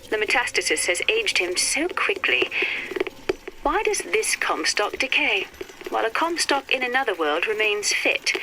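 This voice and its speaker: An actress playing a cool, erudite, wry and melancholy physicist in a game audio log, speaking in precise, measured, formal phrases. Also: female voice, fairly high pitch. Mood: resigned, pensive. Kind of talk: scripted dramatic audio-log monologue for a game. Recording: noisy, crackly vintage audio-log recording.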